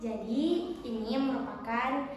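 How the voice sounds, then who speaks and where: Young woman, high voice in heavy echo. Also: lively intonation, slow pace, darkish tone. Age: teens or young adult. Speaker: podcast guest, student book co-author. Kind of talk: explanatory interview answers. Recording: very echoey, reverberant hall.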